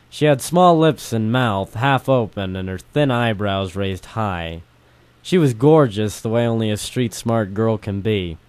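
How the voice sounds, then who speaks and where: A man's voice: fairly low pitch, darkish tone, no echo, lightly acted. A man playing a world-weary, wisecracking private detective in an old-time radio drama style, with theatrical noir delivery. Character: world-weary hardboiled male private detective narrator, ex-Marine. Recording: music behind the voice.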